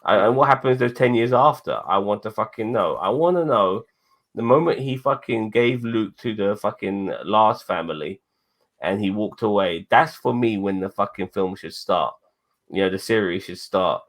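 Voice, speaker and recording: A man's voice: on the low side, moderate pace. A man in his forties, co-hosting a casual chat, rambling and swearing often as he talks. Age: 40s. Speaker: podcast co-host.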